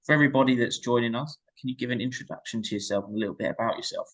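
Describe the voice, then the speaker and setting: A man's voice: mid-range voice, very fast. A man hosting a podcast, upbeat and eager as he introduces and questions his guest. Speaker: podcast host. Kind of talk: podcast interviewing.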